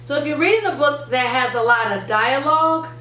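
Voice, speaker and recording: High-voiced woman teaching, roomy sound. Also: brisk, bright, forceful tone. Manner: clear step-by-step explaining, stressing key words. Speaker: reading teacher and channel host. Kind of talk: instructional how-to presentation. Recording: roomy, distant mic, faint noise.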